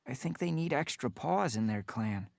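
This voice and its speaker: A man's voice: medium pitch, lively intonation, normal speed, vocal fry, darkish tone, no echo, voice pushed up. A man voicing a restless young house tomcat in a storybook reading, speaking in an earnest, firm, determined way.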